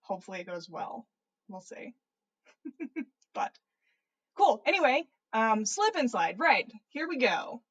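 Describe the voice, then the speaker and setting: A woman's voice: on the high side, big pitch swings, measured pace, long pauses, a bit bright, slightly rough. A woman hosting a story livestream, reading narration aloud in an informal way with casual asides. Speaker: podcast host reading stories.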